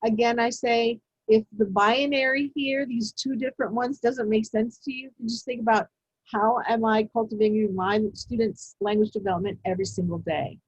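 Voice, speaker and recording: A woman presenting a teacher-training webinar, talking in a measured way with many fillers and restarts. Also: fairly high pitch, steady tone, slightly rough, no echo, muffled recording. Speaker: education presenter. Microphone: video call.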